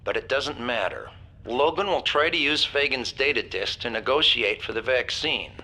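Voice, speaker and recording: A man's voice: medium pitch, animated, moderate pace, sharp tone, full character voice. An actor playing a controlled, persuasive male agency director in a game cutscene. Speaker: agency official.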